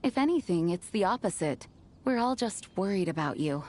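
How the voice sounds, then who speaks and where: A woman's voice: mid-range voice, animated, normal speed, darkish tone, slight background noise, close to an everyday voice. Woman as young female leader, composed, caring, mid-range voice, video game drama. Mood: reassuring.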